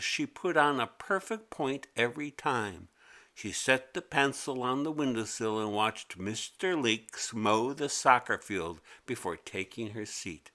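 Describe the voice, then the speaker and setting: A man narrating a scripted children's story in an expressive, deliberate way. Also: medium pitch. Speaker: author narrating his own children's story.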